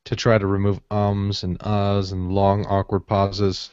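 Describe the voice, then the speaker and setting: Male podcaster, fairly low voice, casual shop talk, dull audio without room sound. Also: monotone, a little slow, a little mellow, smooth, dry recording. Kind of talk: casual chat. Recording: dull, close dry audio.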